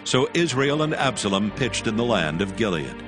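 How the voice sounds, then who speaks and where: Low-voiced male scripture narrator. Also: very animated, normal speed, music in the background.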